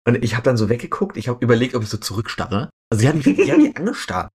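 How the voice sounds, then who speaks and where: A young man from Berlin co-hosting a podcast, telling anecdotes casually in slangy, colloquial language with animated delivery. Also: medium pitch, rapid-fire, slightly rough. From Berlin, Germany. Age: young adult. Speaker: podcast co-host.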